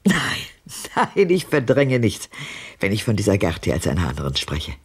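An older actress playing a bitter, haunted old woman in a radio drama, speaking deliberately and pointedly in a low, breathy voice that drops into whispers. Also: wildly swooping pitch, full character voice. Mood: bitter, grave.

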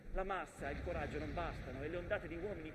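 A man plays a proud, anguished mountain watching over trench warfare. The voice is fairly high and declamatory, delivered as a theatrical monologue. It rings through a long reverb with clear background noise. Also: quick pace, close to an everyday voice. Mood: sombre. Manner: declamatory, swelling from grave narration to outbursts.

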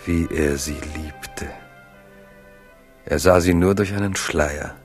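A very deep, solemn narrator. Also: male voice, slow, soft background music. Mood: tender, rueful. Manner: solemn, lyrical, dramatic. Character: solemn, lyrical narrator of a tragic romance. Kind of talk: literary radio drama narration.

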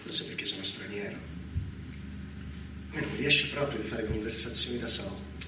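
An actor playing a jealous, tormented foreign general who broods bitterly in a radio drama. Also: male voice, lowish voice, moderate pace, natural voice. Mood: bitter, brooding. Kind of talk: Italian stage-style radio drama. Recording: roomy, noisy, distant-miked radio broadcast.

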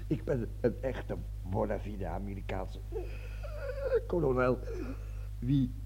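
An actor in a radio play voicing a self-important American army colonel who stammers, cajoles and barks orders. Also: male voice, on the low side, slow, dark tone. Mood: pompous, strained. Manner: pompous, stammering, cajoling, switching between whispers and barked orders. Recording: noisy old radio recording with hum.

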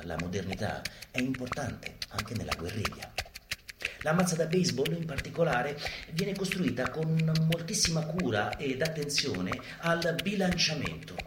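Male actor for urban guerrilla instructor in radio theatre: emphatic, mock-instructional, very animated pitch, roomy live sound. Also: very noisy.

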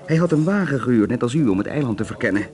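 A man's voice: mid-range voice, expressive, rapid-fire, a little mellow, close to an everyday voice. An actor playing a polite, deferential local man who serves island guests and gossips eagerly, in a Dutch radio play. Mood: obliging, gossipy.

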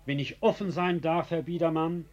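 An actor playing a sly, insolently calm male ex-convict in a German radio play, mock-courteous and ironic, with a husky voice. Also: a little high, muffled recording, lightly acted. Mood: coolly polite. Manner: mock-courteous, teasing, unhurried irony.

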